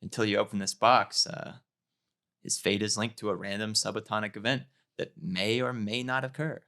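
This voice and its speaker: A man's voice: lowish voice, steady tone, moderate pace, pushed and bright. A man voicing a smug, evasive physicist in a comedy sketch read, explaining himself in a pedantic, self-justifying way. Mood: breezy, evasive. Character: smug, evasive male physicist explaining away a dead cat.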